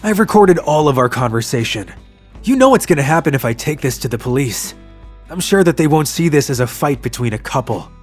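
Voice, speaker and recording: Casting: male actor as earnest young office worker, mid-pitched, narrating a manga love story over faint music. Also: expressive, fairly quick, a little mellow, natural voice. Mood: firm, confronting.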